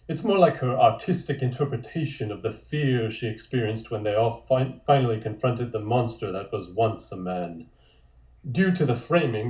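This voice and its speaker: A man reading scripted scene descriptions as narrator at a table read, deliberate and descriptive. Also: fairly low pitch, darkish tone. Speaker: narrator reading stage directions. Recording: echoey room sound.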